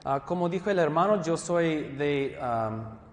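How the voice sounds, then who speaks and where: A man with an American English accent teaches a theology class, his voice sitting a little high. He is friendly and self-deprecating, often stopping to restart a word. The sound rings with the long reverb of a large hall. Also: animated, unhurried. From Southern California, USA. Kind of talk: classroom lecture.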